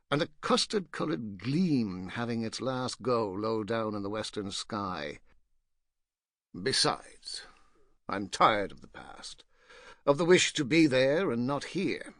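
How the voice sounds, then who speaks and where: A man's voice: lowish voice, a bit bright, no echo, studio quality, heavily acted. A man narrating a literary audiobook as a rueful, self-mocking, pompous ex-painter nearing fifty, fussy and digressive in manner.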